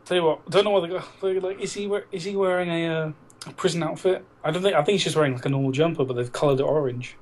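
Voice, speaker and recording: A man's voice: a little high, quick pace. A man co-hosting a casual film podcast, blunt and teasing, with plenty of swearing. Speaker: podcast co-host. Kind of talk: casual banter about films.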